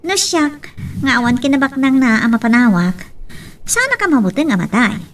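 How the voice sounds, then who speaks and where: A woman with an Ilocano accent playing a lively, teasing young female friend in an audio drama. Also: high voice, normal speed, far from the mic. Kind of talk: Ilocano radio-style love drama acting.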